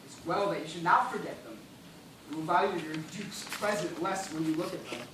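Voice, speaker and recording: Distant and swimming in echo over clear background noise, a man plays a gentleman castaway dying of thirst. He is weakened, bitter and impatient, speaking in formal theatrical phrases for an amateur stage play. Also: a little high, animated, brisk, close to an everyday voice. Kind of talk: amateur one-act stage play acting. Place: indoor theatre stage, recorded from the audience distance. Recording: very echoey noisy hall, far away.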